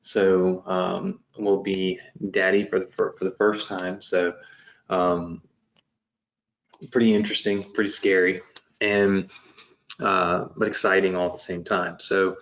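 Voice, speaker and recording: A man's voice: deep voice, level tone, unhurried, fairly bright tone, muffled recording. A man in his late thirties hosting a live business webinar, talking loosely with many fillers and restarts. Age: 30s. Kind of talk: casual live-stream talk. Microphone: webcam setup at home desk.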